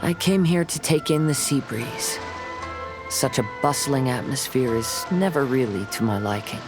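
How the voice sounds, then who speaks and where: A man playing a gentle, reflective young wandering samurai in a video game, speaking in a measured way. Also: medium pitch, close to an everyday voice. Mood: calm, reassuring. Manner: calm, measured, contemplative. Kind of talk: scripted video game dialogue. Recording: loud music under the voice.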